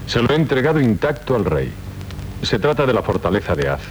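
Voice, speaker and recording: An actor playing an earnest young Castilian knight in a radio drama, measured and firm, with a Castilian Spanish accent. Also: male voice, very animated, natural voice. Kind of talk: 1970s radio drama acting. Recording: noisy 1970s radio recording with rumble.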